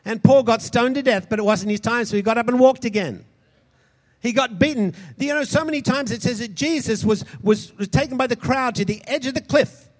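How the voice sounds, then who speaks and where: A man preaching a sermon, impassioned, swinging between loud shouts and soft, quick asides. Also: extremely high pitch. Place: large hall converted into a church.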